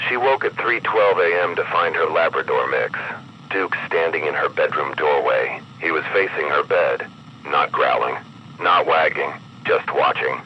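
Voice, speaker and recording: A man with an extremely low voice narrates a horror-style emergency broadcast. He is grave and measured, with an ominous announcer's delivery. The sound is dulled, with clear noise humming behind him. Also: expressive, muffled recording.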